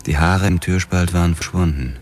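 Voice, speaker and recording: A man's voice: sits low, moderate pace, soft background music. A man playing a weary, terse police detective narrating a noir radio drama. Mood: terse, controlled.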